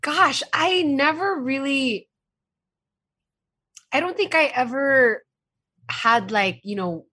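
A woman's voice: high-pitched, animated, slow pace, pauses often, bright, forceful tone. A woman in a casual chat, speaking in a hesitant, thoughtful way as a show guest.

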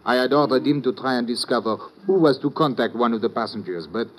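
An actor playing a formal, excitable French police inspector with a French accent, speaking precisely and theatrically in radio drama style. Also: male voice, medium pitch, quick pace, close to an everyday voice. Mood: apologetic, explaining. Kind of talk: 1950s radio drama acting. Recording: noisy old radio recording.

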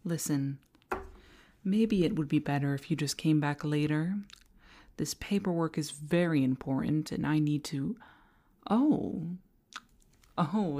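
A woman playing a flirtatious, possessive office boss in an intimate audio roleplay, coy and halting, sometimes slipping into breathy tones. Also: low voice, dark tone. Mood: curt, busy, then flustered. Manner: coy, halting, giggly, often dropping to breathy whispers.

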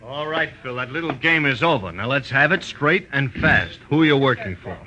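An American actor playing an impatient, sardonic police lieutenant pressing for answers in a 1940s radio drama, heard on an old broadcast recording. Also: male voice, mid-range voice, expressive, moderate pace, pushed and bright, a little hiss or hum. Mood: demanding.

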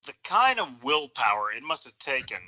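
A man, an actor, joining a casual podcast chat with quick, emphatic commentary. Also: mid-range voice, sing-song, pushed and bright.

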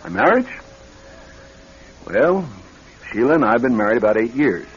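An actor in a radio drama playing a nervous young married executive troubled by bad dreams, speaking in a halting, earnest way. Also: male voice, medium pitch, big pitch swings, slight background noise, lightly acted. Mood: self-assured. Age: young adult. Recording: dull band-limited old radio recording.

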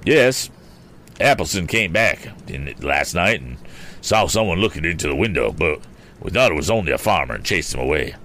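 A man plays a gruff gang criminal in an adventure audiobook. He is plain-spoken and colloquial, with a lowish voice and faint background noise. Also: sing-song, normal speed, bright, forceful tone, exaggerated voice. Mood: questioning. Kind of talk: acted dialogue in an audiobook adventure novel.

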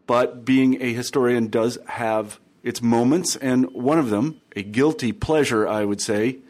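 A man hosting a history book podcast, reading an introduction and then chatting with a guest, with some fillers and restarts. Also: mid-range voice. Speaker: podcast host. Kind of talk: scripted intro then interview.

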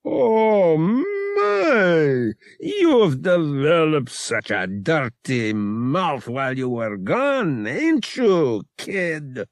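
A man's voice: on the high side, very animated, very slow, dry recording, voice pushed up, heavily acted. A man voicing a sly, menacing older captain in an audiobook, with a folksy, wheedling drawl that coaxes and taunts. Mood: mocking, taunting.

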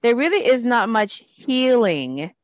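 A woman hosting a casual interview, an energy healing practitioner who speaks in a measured, reflective way. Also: fairly high pitch, big pitch swings, no room sound.

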